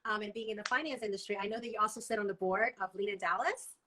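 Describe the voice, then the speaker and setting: A woman in her thirties from Hong Kong, hosting a casual video-call interview, warm and affirming in manner. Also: mid-range voice, quick pace. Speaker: host. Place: home room.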